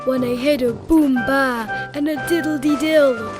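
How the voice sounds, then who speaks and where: A woman's voice: high voice, unhurried. A British woman narrating a children's storybook aloud in a dramatic, theatrical storytelling manner. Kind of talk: expressive children's read-aloud. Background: music.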